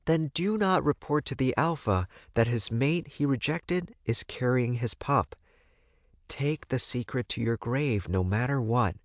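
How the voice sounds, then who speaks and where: A man voicing an older werewolf mother who advises her son in a calm, firm, measured way, as in audiobook dialogue. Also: medium pitch, no room sound, dull audio, voice pushed up, close to an everyday voice. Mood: calm, attentive.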